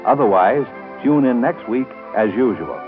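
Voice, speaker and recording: A man's voice: medium pitch, lively intonation, normal speed, loud music behind the voice. Muffled 1930s American radio announcer, male. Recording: band-limited 1930s radio recording.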